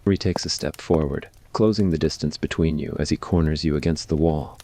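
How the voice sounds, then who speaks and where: Very deep-voiced man narrating ASMR fiction. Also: fairly even intonation, brisk, mellow. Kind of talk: scripted ASMR story narration.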